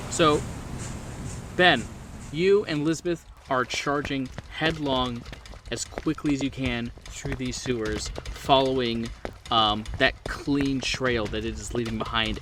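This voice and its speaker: A man's voice: mid-range voice, background noise. A man running a tabletop horror game as game master, narrating scenes off the cuff. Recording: clear boat engine ambience behind.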